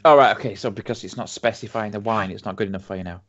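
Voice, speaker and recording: A man with a Yorkshire accent co-hosting a casual whisky tasting podcast. He reads tasting notes slowly and teases his friend. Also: on the low side, fairly bright tone. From Yorkshire, England. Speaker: podcast co-host. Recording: dull lo-fi home podcast audio.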